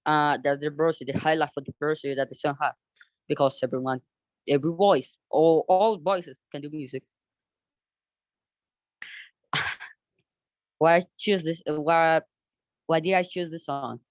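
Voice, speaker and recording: A young man with a Colombian Spanish accent talks through his class podcast project, his voice sitting slightly high. He stumbles and restarts often, feeling for the right English words. The recording sounds dull and dry, close to the mic. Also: steady tone, no room sound. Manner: halting, searches for words, frequent restarts in hesitant English. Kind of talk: unscripted class presentation.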